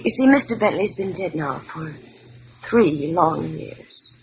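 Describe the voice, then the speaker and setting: An actress playing a coolly flirtatious, glamorous widow in a 1940s radio noir drama, coy, teasing and smoothly evasive. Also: female voice, sits low, animated, a little slow, heavily acted. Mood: coy, amused. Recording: noisy, muffled vintage radio recording.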